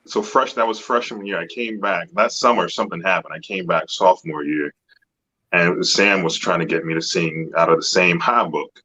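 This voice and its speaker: A man who sings bass, talking in a lively, casual way over a video call. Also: moderate pace, low-quality recording. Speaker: opera singer, bass. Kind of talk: casual panel chat.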